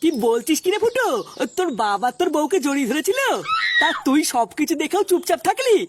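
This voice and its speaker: A woman's voice: high-pitched, sing-song, a little fast. A woman voicing an incredulous, nosy, exclamatory friend in a comic cartoon dub. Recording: loud noise, birdsong behind.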